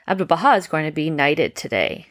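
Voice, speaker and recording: A woman voicing a gentle, patient uncle who explains things in a bedtime story. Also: low voice, animated, brisk. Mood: patient explaining. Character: gentle, patient uncle, a humble carriage driver.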